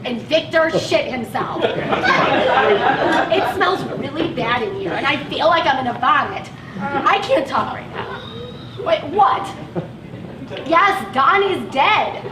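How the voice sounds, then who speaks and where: A woman's voice: sits high, recorded from a distance, full character voice. An actress playing an overwhelmed woman driving a van of patients in a stage play, pleading and stammering in a frazzled way. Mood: harried, upset. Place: theatre stage, picked up at a distance. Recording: roomy, noisy stage recording from afar.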